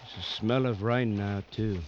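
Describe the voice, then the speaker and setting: An actor in an old radio drama playing a calm, watchful safari tracker who speaks in a terse, measured, stoic way. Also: male voice, fairly low pitch, close to an everyday voice. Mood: quiet, cautious. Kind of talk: 1940s-60s radio drama acting. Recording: dull, noisy old radio recording.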